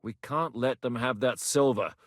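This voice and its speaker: An actor playing a battle-hardened, commanding mercenary captain in a game, blunt and quick to swear. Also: male voice, medium pitch, no room sound, studio quality, natural voice. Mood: grim. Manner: gruff, blunt soldier's talk with frequent swearing. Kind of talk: game voice lines.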